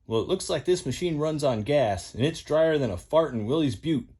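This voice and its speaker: A man's voice: a little high, expressive, normal speed, a little mellow, husky, voice pushed up. A man voicing a folksy old male tow truck with a twangy country drawl.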